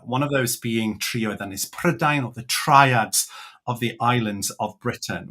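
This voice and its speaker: A man from Wales, a native Welsh speaker, giving an online lecture and slowing down to stress key words. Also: medium pitch, fairly bright tone. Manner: measured, slows to stress words. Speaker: author and speaker.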